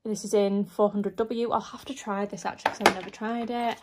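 A woman's voice: mid-range voice, little pitch movement, brisk, noisy background. A young woman in her twenties, slightly nasal from hay fever, chatting enthusiastically to camera in her makeup room at home. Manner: chatty, enthusiastic, repeats "really, really". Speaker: beauty vlogger. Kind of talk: casual vlog talk to camera. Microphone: camera in front, some close-up product shots.

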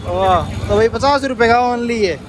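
A man's voice: very high-pitched, lively intonation, a little slow. Chatty Indian man vlogging a busy street market. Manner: chatty, pointing things out, repeats "theek hai" and "dekho bhai". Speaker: travel vlogger. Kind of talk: walking market tour vlog. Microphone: handheld camera mic. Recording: noisy street with traffic.

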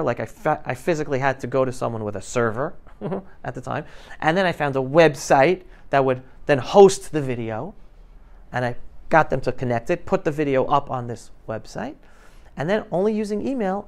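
A middle-aged man giving an emphatic, colloquial storytelling talk to students, acting out conversations as he goes. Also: on the high side. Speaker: guest speaker, filmmaker telling his story. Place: school classroom, indoors. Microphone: clip-on lapel mic.

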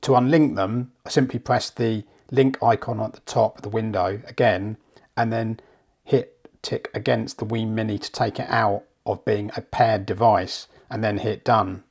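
A man talks through an app screen by screen as a hifi reviewer, chatty and steady. His voice is croaky and gravelly from flu, and it sits fairly low. He explains each step plainly. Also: moderate pace. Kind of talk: screen walkthrough tutorial. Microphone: voice-over.

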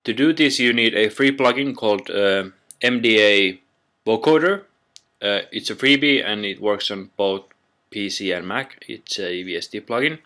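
A man narrating an informal software tutorial in a halting, step-by-step way, recorded on a built-in computer microphone. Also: lowish voice. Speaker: tutorial presenter.

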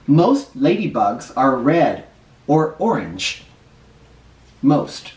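A man's voice: mid-range voice, big pitch swings, very slow, darkish tone, slight background noise. A middle-aged man teaching young children and reading a picture book aloud, explaining simply and stressing key words. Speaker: first grade teacher. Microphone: camera a short distance away. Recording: roomy, distant camera microphone.

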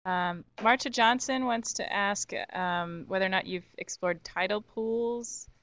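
A woman's voice: medium pitch, measured pace, a bit bright, no room sound. A woman moderating a webinar, reading audience questions out in an orderly way and passing them along.

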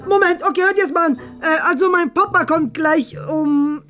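Super high pitched nerdy schoolboy, fussy n pleading, comedy skit. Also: male voice, normal speed, sharp tone. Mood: eager, nervous. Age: young adult. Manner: fussy, pleading, hesitant with many hedges. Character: nerdy, eager schoolboy desperate to impress his father. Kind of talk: scripted classroom comedy sketch. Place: small bright classroom, indoors. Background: faint music.

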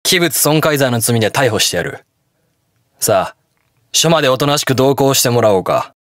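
Man acting a fiery, gruff armored hero. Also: mid-range voice, animated, moderate pace, fairly bright tone, dry recording, clean studio sound, close to an everyday voice. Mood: stern, resolute. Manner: gruff, terse, defiant delivery. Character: brash, hot-blooded armored hero, a stern young male police detective. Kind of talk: video game battle voice lines in Japanese hero-show acting.

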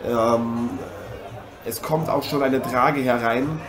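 A man playing a boxer who co-commentates in dramatic dismay, rambling and halting, in improvised parody live commentary. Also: mid-range voice, fairly even intonation, crowd noise, lightly acted. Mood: somber. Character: American boxer Matthew Ironhead co-commentating, dramatically aghast. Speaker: boxing co-commentator. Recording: noisy amateur recording with ambience.

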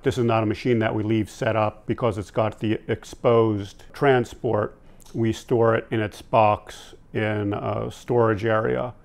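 A man in his sixties informally explaining and demonstrating gear, in a conversational, halting way that often backtracks. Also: on the low side, vocal fry, a little mellow. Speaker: audio-visual technician and product reviewer presenting vintage tape gear. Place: indoor room at home, seated at a table.

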